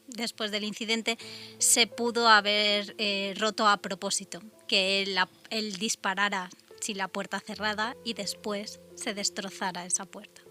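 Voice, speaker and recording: A woman's voice: mid-range voice, pushed and bright. A woman from commenting conversationally and methodically as a radio panelist.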